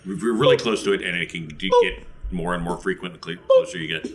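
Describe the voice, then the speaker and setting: A man's voice: deep voice, quick pace. A man playing a tabletop role-playing game, improvising casually in character.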